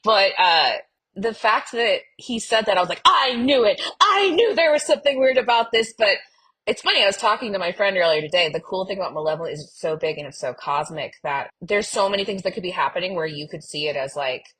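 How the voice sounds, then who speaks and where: A woman's voice: mid-range voice, animated, brisk, fairly bright tone, slightly rough. A woman co-hosting a podcast, chatting casually and expressively as a fan and acting out quotes with drama. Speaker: podcast co-host discussing an audio drama. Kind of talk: animated casual fan chat.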